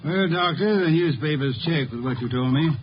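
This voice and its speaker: A male actor as an eccentric, self-assured detective in a vintage radio mystery. His voice sits somewhat high for a man and questions with authority. The recording is muffled with faint noise. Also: animated, moderate pace, close to an everyday voice. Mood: inquisitive, composed. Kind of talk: 1950s radio drama acting.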